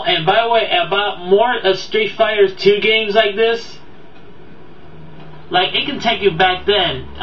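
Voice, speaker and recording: A man giving a casual, unscripted video review, rambling and stumbling as he repeats his words. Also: on the high side. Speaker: amateur video game movie reviewer. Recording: boxy echoey room, distant dull mic, background audio.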